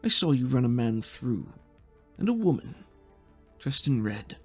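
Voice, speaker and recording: A man voicing an intense, suspicious male psychic in a tabletop game, speaking in dramatic, halting phrases with weighty pauses. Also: medium pitch, big pitch swings, slightly rough, soft background music. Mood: probing, suspicious. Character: intense probing male psychic who reads objects, grave and suspicious.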